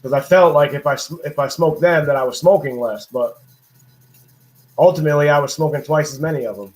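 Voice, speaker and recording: A man in his late thirties talks casually from his living room, laid-back and easygoing. His voice sits somewhat high for a man. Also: a little fast, poor audio. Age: 30s. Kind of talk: casual chat.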